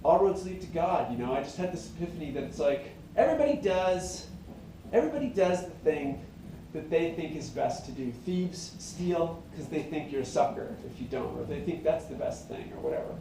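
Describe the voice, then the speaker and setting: A middle-aged singer-songwriter with a high tenor voice, talking in a meandering, reflective way full of fillers and restarts. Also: male voice, big pitch swings, a little fast, mellow, a little hiss or hum. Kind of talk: rambling casual monologue. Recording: very echoey room, mic at a distance.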